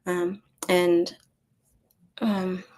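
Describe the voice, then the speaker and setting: A woman filmmaker speaking at a festival Q&A, answering thoughtfully in an unhurried, storytelling manner with soft fillers. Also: on the low side, flat delivery. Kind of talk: reflective panel answer. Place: festival screening venue.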